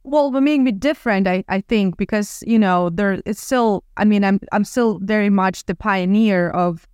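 A woman from Ukraine in her thirties with a Ukrainian accent, talking casually as a podcast guest with lively restarts and fillers. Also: mid-range voice, normal speed, clean tone, dry recording, clean studio sound. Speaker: guest entrepreneur. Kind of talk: casual interview talk.

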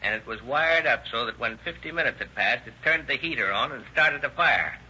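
An actor playing a shrewd police lieutenant, sardonic and pressing, in an old radio crime drama interrogation. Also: male voice, mid-range voice, lively intonation, brisk, sharp tone. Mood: slyly casual. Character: shrewd, needling, hard-driving male police lieutenant interrogator. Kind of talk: 1930s-1940s radio crime drama acting. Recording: dull, noisy old radio recording.

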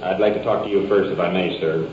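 A man's voice: deep voice, steady tone, fast talker. A man working as a police sergeant, formally and politely questioning witnesses. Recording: dull, echoey, faintly noisy field recording.

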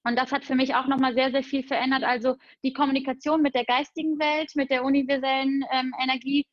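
High-voiced chatty woman, dry muffled sound. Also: little pitch movement, fast talker, no room sound. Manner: enthusiastic, spiritual, chatty with fillers. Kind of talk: casual conversation.

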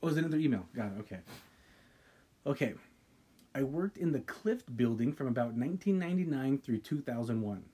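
A man co-hosting a casual podcast, reading a listener's ghost story aloud with dramatic pauses and stopping to react. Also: medium pitch, expressive, dark tone, slightly rough. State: sipping wine. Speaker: podcast co-host.

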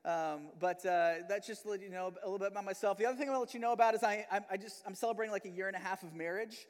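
A man's voice: high voice, very fast. A young man from the Philippines, a pastor, opening his sermon with casual, rambling chat full of fillers. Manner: chatty, filler-heavy, self-deprecating. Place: church hall. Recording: long hall reverb.

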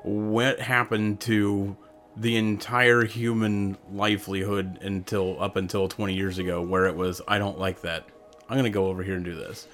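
A man's voice: fairly low pitch, flat delivery, moderate pace, fairly bright tone, faint music behind. A man hosting a podcast, talking casually and ranting with strong opinions. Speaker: podcast host.

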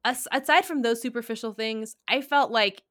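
A young woman, co-hosting a podcast and telling personal stories in an animated, casual way, full of "like" and "right?". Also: fairly high pitch, normal speed, no room sound, clean studio sound. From United States. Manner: animated, heavy use of "like" and "right?". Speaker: podcast co-host. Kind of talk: casual conversational storytelling.

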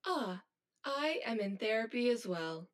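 A woman's voice: fairly high pitch, very animated, unhurried. A woman acting as an anxious, hollow female robot in an audio drama, speaking in formal, stilted, literal phrases. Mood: detached confession.

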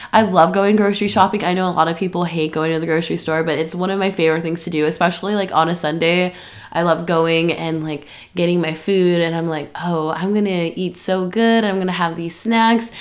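Nasal young woman chatting. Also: lowish voice. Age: 20s. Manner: rambling, lots of "um" and "like". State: stuffy from allergies. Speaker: podcast host. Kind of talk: casual solo podcast chat.

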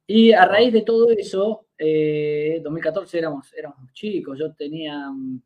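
Hesitant Argentine man in his twenties, fairly high voice. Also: a little slow, dull audio. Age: 20s. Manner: hesitant, many fillers and restarts while recounting. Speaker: podcast guest. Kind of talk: casual interview storytelling.

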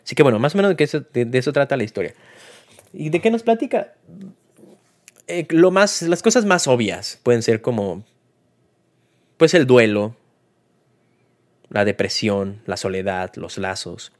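A man's voice: mid-range voice, animated, normal speed, long pauses. A man with a Mexican accent gives a casual, unscripted film review in a conversational, colloquial way, like a host talking to listeners. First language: Spanish. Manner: conversational, colloquial, retells scenes with acted-out quotes.

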